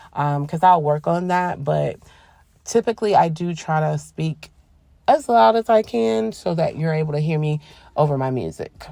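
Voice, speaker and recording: A woman giving a soft-spoken voice-over for a nail tutorial, polite and relaxed, rambling with frequent ums. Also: low voice, gravelly, no room sound. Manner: soft, quiet, polite phone-etiquette voice. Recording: voice-over with faint background music.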